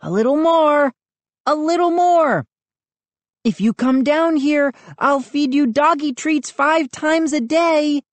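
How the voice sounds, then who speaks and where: A woman's voice: fairly high pitch, unhurried, mellow, no echo, voice pushed up. A woman voicing an earnest, frightened child who pleads urgently in a children's story. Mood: urgent pleading.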